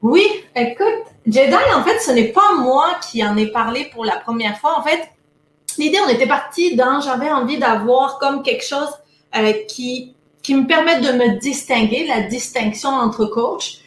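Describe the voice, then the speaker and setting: A woman's voice: high-pitched, normal speed, roomy sound. A woman from France with a Montpellier accent blended with Québécois expressions, chatting upbeat as a coach being interviewed. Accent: Montpellier French mixed with Québécois. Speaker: productivity coach. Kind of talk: casual interview. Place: Québec.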